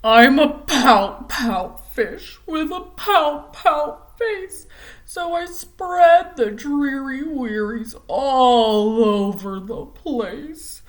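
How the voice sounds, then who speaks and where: A woman voicing a glum, gloomy male fish with a pout, in a slow, drawn-out, mournful singsong from a picture book read-aloud. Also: sits high, expressive, voice pushed up, exaggerated voice.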